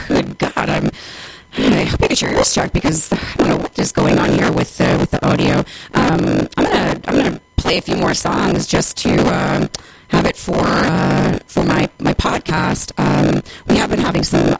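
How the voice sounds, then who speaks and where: A radio host from the United States rambling casually and wryly between songs, with the voice broken up by a robotic, glitching digital distortion. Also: creaky. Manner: wry, sardonic, exasperated, swearing. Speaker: radio show host and podcaster. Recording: noisy, garbled low-bitrate streaming audio. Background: harsh interference noise.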